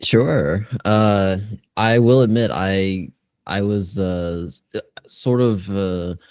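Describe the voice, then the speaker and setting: A man with a very deep voice speaks slowly and hesitantly, stumbling over his words as an interview guest. His call microphone gives a dry, close sound. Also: darkish tone, dry recording. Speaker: creative director.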